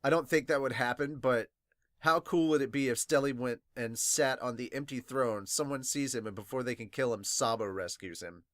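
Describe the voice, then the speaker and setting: A man with a mid-pitched voice talks casually and excitably, like a fan hosting a reaction podcast. His nose sounds slightly stuffed and sniffly, and he swears freely. The recording is close and dry, with very little room sound. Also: normal speed, dry recording. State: congested, sniffly from crying. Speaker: podcast host reviewing manga. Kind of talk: casual fan reaction, reading viewer comments aloud.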